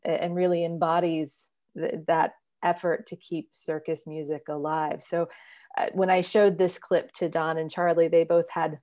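A woman in her forties moderates a panel over a video call. Her voice is low, and she presents in a conversational style from a room at home. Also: dark tone. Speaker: panel moderator, museum curator. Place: small room at home, indoors. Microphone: wired earbuds on a video call.

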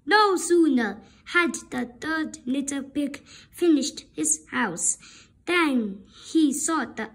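A woman reading a children's story aloud with deliberate, expressive narration. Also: high-pitched. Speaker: storybook reader.

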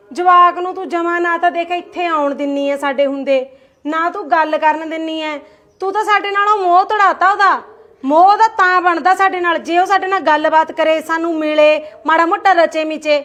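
A woman's voice: extremely high pitch, moderate pace, a bit bright. A woman, acting an aggrieved sister-in-law who complains and taunts with rhetorical questions in a family skit. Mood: complaining. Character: aggrieved Punjabi aunt, taunting and sulky.

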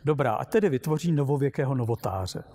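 A man's voice: medium pitch, animated, dark tone, natural voice. A man voicing a cool, hard-to-please rival robot inventor in a storybook reading, pedantic and dismissive. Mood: dissatisfied.

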